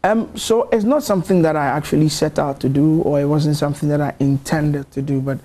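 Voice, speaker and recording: A man in his thirties with a Ghanaian accent, a gospel musician guest talking in a TV studio interview, hesitant and soft-spoken, often repeating words as he thinks. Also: fairly high pitch, fairly quick. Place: bright TV studio.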